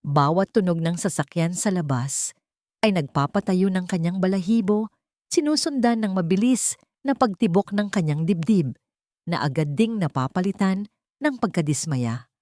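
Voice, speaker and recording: Low-voiced Filipino woman narrating a dramatic story. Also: lively intonation, normal speed, a little mellow, no room sound, clean studio sound.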